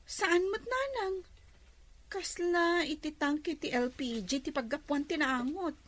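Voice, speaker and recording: A woman's voice: high voice, slow pace, slight background noise. An actress playing a kind, dutiful young village woman in an Ilocano radio drama, speaking with an Ilocano accent. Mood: puzzled.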